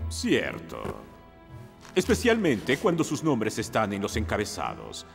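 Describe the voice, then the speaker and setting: An actor playing a scheming, pompous middle-aged mayor in a cartoon, theatrical, oily and blustering. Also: male voice, lowish voice, huge pitch swings, unhurried, creaky, big-room sound, soft background music, heavily acted. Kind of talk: animated cartoon dubbing.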